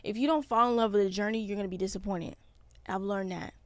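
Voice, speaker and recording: A woman in her twenties hosting a podcast, chatting casually in an animated, slangy way. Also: mid-range voice, fast, no room sound. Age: 20s. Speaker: podcast host.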